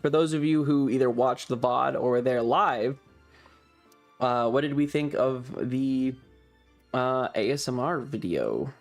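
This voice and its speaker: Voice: medium pitch, unhurried, faint music behind. A streamer chatting casually and informally to a live audience, rambling and thinking aloud. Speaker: streamer and ASMR video creator hosting a livestream. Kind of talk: casual livestream chat.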